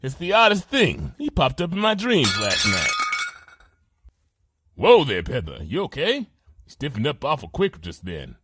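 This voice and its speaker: A man's voice: mid-range voice, huge pitch swings, a little slow, very noisy. Man playing a hearty, folksy, wildly swooping pony cook. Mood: curious, relaxed. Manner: folksy drawl, blustery, informal. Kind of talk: audio drama character acting.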